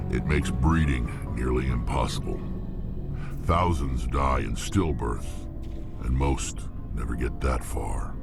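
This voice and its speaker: An extremely deep, gravel-throated old alien mercenary from a video game. He speaks slowly and bluntly, world-weary and sardonic, over loud music. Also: heavily acted. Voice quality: gravelly, rumbling. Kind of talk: video game character dialogue.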